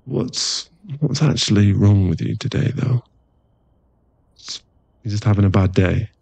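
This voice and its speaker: A man playing an affectionate, teasing werewolf boyfriend in an intimate ASMR roleplay, speaking in a soft, coaxing, halting way with a husky, gravelly voice. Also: on the low side, dry recording. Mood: pleading. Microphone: close microphone.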